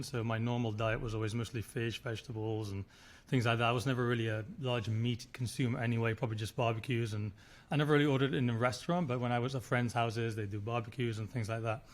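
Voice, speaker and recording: A man's voice: mid-range voice, steady tone, quick pace. A man, a resort founder telling his story in a rambling, casual way. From Spain. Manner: rambling, many restarts and filler words. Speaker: resort founder, podcast guest.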